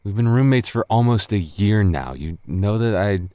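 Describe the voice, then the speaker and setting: A man's voice: lowish voice, expressive, moderate pace, mellow, dry recording, clean studio sound, close to an everyday voice. A man acting a tipsy young roommate who is teasing, flustered and secretly smitten, stammering and rambling in a boyfriend roleplay audio. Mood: defensive, flustered.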